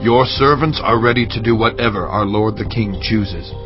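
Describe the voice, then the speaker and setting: A man's voice: fairly low pitch, moderate pace, natural voice. A man playing an obedient royal official in an audio Bible drama, formal and deferential. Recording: dull lo-fi audio with background music.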